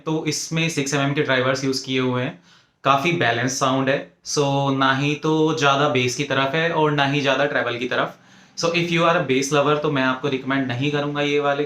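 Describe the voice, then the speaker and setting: A man's voice: medium pitch, pushed and bright. A man with an Indian accent giving an informal, methodical product review, explaining point by point and mixing in English phrases. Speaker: tech reviewer presenting an earphone review. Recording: echoey, roomy sound.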